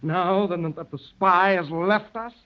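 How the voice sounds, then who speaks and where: An actor playing a prim, fussy, indignant anarchist secretary with formal speech, in 1930s radio drama style. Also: male voice, sits high, a little slow, clean tone. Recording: dull, muffled old 1930s radio recording.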